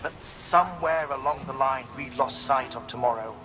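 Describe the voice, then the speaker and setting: A man's voice: medium pitch, moderate pace, loud music behind the voice, close to an everyday voice. An actor playing a reflective, articulate man who gives a philosophical, lecturing monologue in a game cutscene. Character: reflective, articulate male forecaster lamenting humanity's decline.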